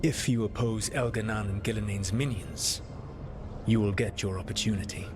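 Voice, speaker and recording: A man playing a solemn, formal advisor in a fantasy game, speaking with grave, deliberate care. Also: lowish voice, natural voice. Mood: grave counsel. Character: solemn, measured male advisor who warns of powerful gods. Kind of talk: cinematic fantasy game dialogue. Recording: clearly noisy ambience behind voice.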